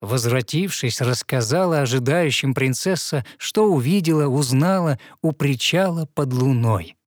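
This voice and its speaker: Man, mid-range voice, fairy-tale narrator, rhythmic verse, measured delivery, dry close sound. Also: mid-range voice, animated, no echo.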